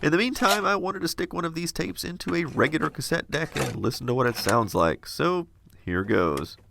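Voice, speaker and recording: A man's voice: fairly high pitch, lively intonation, normal speed, gravelly, sharp tone, a little hiss or hum. A man in his forties hosting a tech video, explaining things in a conversational way. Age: 40s. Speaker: host of a retro technology video channel.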